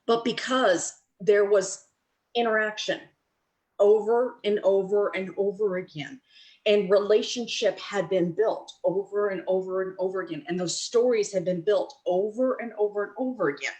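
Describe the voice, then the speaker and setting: Woman, webinar presenter, earnest and animated, mid-pitched, computer mic. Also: moderate pace. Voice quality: animated, earnest, rises high on quoted reactions. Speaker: webinar presenter on network marketing. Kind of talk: motivational webinar talk. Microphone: computer mic, webinar recording.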